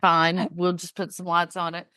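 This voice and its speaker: A woman's voice: lowish voice, completely flat delivery, normal speed, sharp tone, no echo. Woman cohost, stuffy nose, chatting away, dry close sound. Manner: chatty, storytelling. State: stuffed up from a cold. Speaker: podcast co-host. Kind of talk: casual chat.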